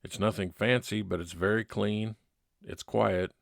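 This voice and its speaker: A man playing a kindly, laconic 1950s private detective, narrating his case in old-time radio drama style with measured, plain-spoken delivery. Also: fairly low pitch, steady tone, gravelly, no echo, clean studio sound. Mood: matter-of-fact. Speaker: narrator and lead actor.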